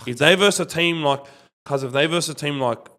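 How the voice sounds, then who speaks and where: A man's voice: a little high, normal speed, pushed and bright. Australian man, podcast panellist, casual sports banter, slangy, opinionated, repeats himself. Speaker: rugby league podcast panellist.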